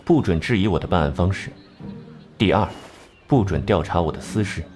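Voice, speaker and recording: Coolly haughty, low-voiced detective. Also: male voice, lively intonation, brisk, a little mellow, soft background music. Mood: haughty, commanding. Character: cold, brilliant, haughty male detective consultant. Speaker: voice actor in an animated drama. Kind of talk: Chinese animation dubbing.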